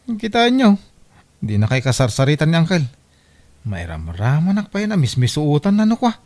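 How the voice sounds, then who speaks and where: An actor plays a grumbling, reluctant grown child in an Ilocano radio comedy drama. The pitch swings widely as the voice talks. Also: wildly swooping pitch, normal speed, darkish tone. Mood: protesting.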